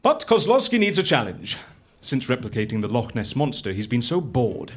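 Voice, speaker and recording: A man acting in a live audio comedy as a brisk, matter-of-fact fixer who deals with clients in a deadpan, businesslike way. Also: medium pitch, husky. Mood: animated, businesslike. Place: live on stage at a festival venue.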